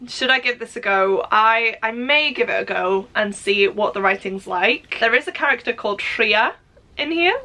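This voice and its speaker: A woman's voice: medium pitch, bright, forceful tone. A young Welsh woman, a native Welsh speaker with a Welsh accent, chatting candidly about a book in a casual unboxing vlog. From Wales. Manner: candid, frank, personal opinions. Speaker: book vlogger.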